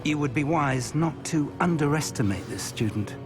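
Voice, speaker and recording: A man's voice: a little high, expressive, moderate pace, music in the background, lightly acted. An actor playing a shrewd, seasoned male wizard professor in a video game, middle-aged, articulate and slightly wry. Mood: wry, earnest.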